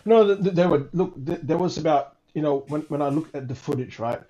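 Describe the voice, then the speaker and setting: A man's voice: a little high, a little fast, mellow, lo-fi sound. A man casually telling his own story as a guest, repeating words and adding tag questions for emphasis.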